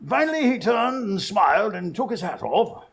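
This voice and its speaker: An actor playing an earnest detective's sidekick who narrates dramatically and joins the dialogue in a live radio drama, gravelly and husky. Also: male voice, high voice, very animated, normal speed, heavily acted. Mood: suspenseful narration.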